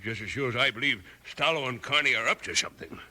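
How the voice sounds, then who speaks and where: An actor playing a crusty, loyal old miner in a western radio drama, with a raspy voice and a folksy rustic drawl. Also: male voice, medium pitch, expressive, fast talker, sharp tone. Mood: conspiratorial. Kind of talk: 1950s western radio acting. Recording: vintage 1950s radio recording.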